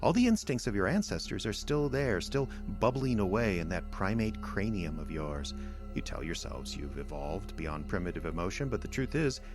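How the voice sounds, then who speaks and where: An actor playing a cold, patient, condescending alien invader in an audio drama, lecturing in a measured, smug way with contempt for humanity. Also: male voice, low-pitched, big pitch swings, music in the background. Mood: contemptuous, lecturing. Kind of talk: audio drama villain monologue.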